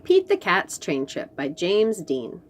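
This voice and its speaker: A woman reading aloud to young children as host, expressive and encouraging, with chatty, teacherly asides. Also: on the low side, slow pace, a little hiss or hum. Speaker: children's story reader and host.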